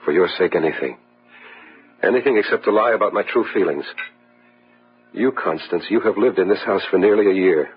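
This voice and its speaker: A man's voice: sits low, brisk, pauses often, close to an everyday voice. A man playing a tormented, resentful husband, grave and overwrought, in an old gothic radio drama. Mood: bitter, brooding. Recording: dull vintage radio recording, music behind.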